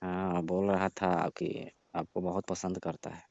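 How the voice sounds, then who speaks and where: A man's voice: low-pitched, level tone, unhurried, gravelly, darkish tone, dry recording. A man playing a sly young friend of the family who coaxes and insinuates in a casual phone conversation. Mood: coaxing. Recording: muffled lo-fi phone call recording.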